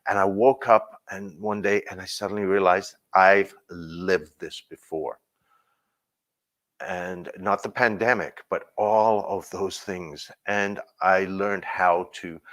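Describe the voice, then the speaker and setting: Deep-voiced man telling a personal story. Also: a bit bright. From Burma. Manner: reflective, with pauses and restarts. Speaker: speaker sharing his story.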